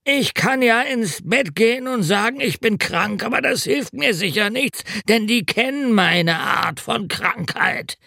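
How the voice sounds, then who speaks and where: A man's voice: extremely high pitch, lively intonation, a little slow, creaky, few pauses, pushed and bright, dry recording, studio quality, voice pushed up, full character voice. A man voicing a drunk, sick older road worker who groans, wails and complains in slurred speech. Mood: groaning. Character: hungover, whining older male road worker.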